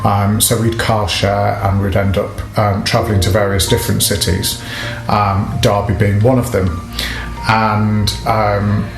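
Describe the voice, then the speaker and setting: Man, forties, low voice, reflective interview, guitar music behind. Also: flat delivery, unhurried, creaky. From Nottingham, England. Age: 40s. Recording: echoey room.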